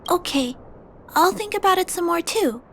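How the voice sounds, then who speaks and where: A woman voicing a gentle, caring little girl in a video game, with an earnest, coaxing manner. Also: extremely high pitch, animated, normal speed, a little hiss or hum, exaggerated voice. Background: faint background music.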